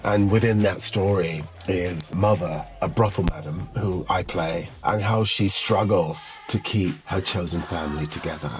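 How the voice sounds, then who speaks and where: A man's voice: low voice, measured pace, hardly any pauses. A man talking in an emphatic, declarative way as a writer and actor presenting a film project. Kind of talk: promotional talk to camera. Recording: music under the voice. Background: loud background music.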